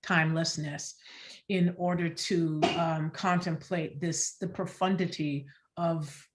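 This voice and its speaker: A woman with a low-pitched voice speaks in a reflective, scholarly register. She is articulate and deliberate, weighing her words and stressing the important ones. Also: fairly even intonation, background noise. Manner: deliberate, searching, articulate, emphasizes key words. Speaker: theater artist on a panel. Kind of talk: scholarly, reflective panel discussion.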